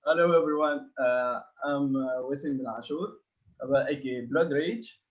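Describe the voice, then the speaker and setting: A man's voice: medium pitch, moderate pace, phone-quality sound. Hesitant male contestant from Tunisia. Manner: hesitant, many fillers.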